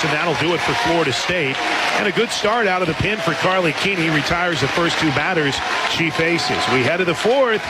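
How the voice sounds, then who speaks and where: An American man doing live radio play-by-play of a softball game as the announcer. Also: high voice. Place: outdoor softball stadium. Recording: loud crowd and music, outdoor stadium broadcast. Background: loud crowd and music.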